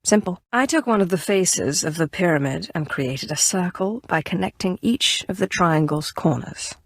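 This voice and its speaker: A woman's voice: fairly low pitch, expressive, normal speed, no room sound. A woman playing a haughty, sly young Sith sorceress in a video game, with a condescending, dryly sardonic delivery. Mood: matter-of-fact. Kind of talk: scripted video game voice acting.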